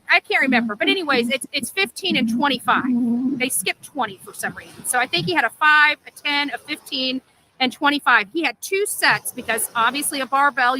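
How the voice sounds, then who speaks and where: A woman with a high, piercingly bright voice talks casually on a livestream. She rambles as she explains, often checking in with listeners, over faint ambient noise. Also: low-quality recording. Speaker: livestream amateur sleuth.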